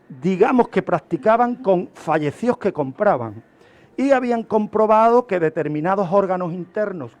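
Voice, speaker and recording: A man with a distinctly high voice explains criminology as a radio guest. He teaches as he talks, stressing key points emphatically and posing rhetorical questions before answering them. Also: darkish tone. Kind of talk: explaining criminology and a historical case on a radio segment. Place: radio studio.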